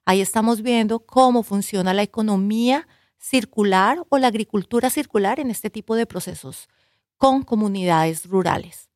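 A woman's voice: mid-range voice, darkish tone, dry recording, studio quality. A Colombian woman, a university professor and researcher, answering interview questions in an earnest, explanatory way with occasional hesitations.

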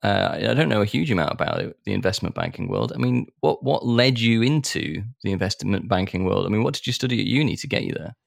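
A man's voice: lowish voice, animated, quick pace, creaky, few pauses, no echo, studio quality. A man hosting an interview, asking curious follow-up questions in an easy conversational way. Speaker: podcast host.

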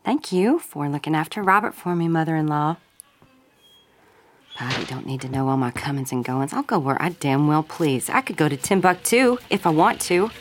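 A woman's voice: sits low, animated, normal speed, slight background noise. A woman playing a headstrong, folksy 1930s young mother with a Southern US accent in an old-time radio drama. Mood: defiant.

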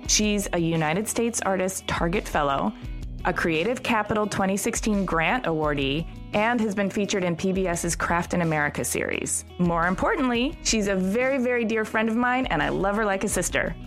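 Woman podcast host, mid-pitched, informal and enthusiastic, some music underneath. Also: animated, moderate pace. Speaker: podcast host interviewing a friend. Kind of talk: conversational podcast hosting.

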